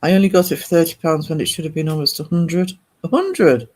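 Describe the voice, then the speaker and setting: British man, fairly high voice, casual livestream host, rambling conversational delivery. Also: lively intonation, a little fast. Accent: British English. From northern England. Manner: rambling, reads and answers comments. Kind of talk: casual chat with livestream viewers.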